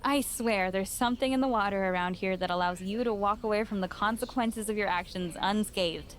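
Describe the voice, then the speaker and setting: A woman's voice: medium pitch, fairly quick, a little hiss or hum, natural voice. A woman playing an exasperated, sarcastic wrestling promoter in a scripted video game cutscene.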